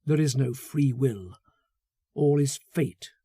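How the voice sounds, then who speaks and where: A man narrating a literary story as an audiobook, dramatic, deliberate and intense in his delivery. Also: mid-range voice, mellow, breathy edge, no room sound, studio quality.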